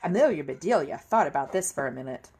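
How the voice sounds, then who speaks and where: A woman reading children's books aloud in an expressive way and chatting to listeners about the pages and pictures. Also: low-pitched, fast talker, vocal fry, a little hiss or hum. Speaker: reader of children's books for an online series. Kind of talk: animated read-aloud storytelling with comments to viewers.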